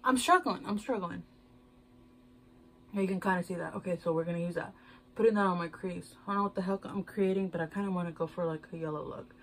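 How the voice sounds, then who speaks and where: A woman's voice: fairly low pitch, fast, slight background noise. A young woman from Houston, chatting in a loose, rambling way while doing her makeup in a small bedroom, talking to a camera at desk distance. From Houston, Texas. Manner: rambling, loose, swears casually, self-mocking. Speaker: beauty vlogger. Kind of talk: casual chatty get-ready-with-me. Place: small decorated bedroom, indoors.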